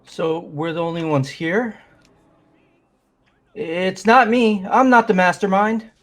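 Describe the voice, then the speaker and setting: A man's voice: high voice, steady tone, takes it slowly. Man playing a skeptical teenage boy. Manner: skeptical, challenging. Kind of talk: fan voice acting of a game.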